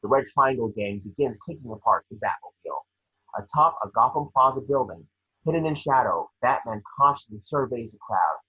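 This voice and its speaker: Bright, husky man narrating a script. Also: mid-range voice, lively intonation, fairly quick, lo-fi sound. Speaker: scene description reader. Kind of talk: scripted narration at a table read.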